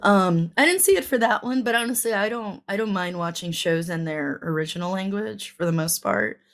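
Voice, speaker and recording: A woman's voice: mid-range voice, brisk. A woman co-hosting a podcast, chatting casually and rambling with lots of ums and likes. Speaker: podcast co-host. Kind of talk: casual chat.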